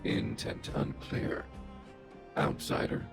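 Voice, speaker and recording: A man voicing a huge, stern, logical game robot with a gravelly synthetic voice, speaking in clipped, formal, deadpan phrases like a computer. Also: slow pace, music in the background, lightly acted. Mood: cold, formal. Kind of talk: scripted video game dialogue.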